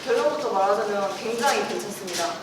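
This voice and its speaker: A woman's voice: medium pitch, animated, fast talker. A young woman with a Busan accent, answering questions in a playful, laughing manner as a student ambassador. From Busan, South Korea. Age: young adult. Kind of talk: casual panel Q&A. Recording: echoey room, distant mic, noisy.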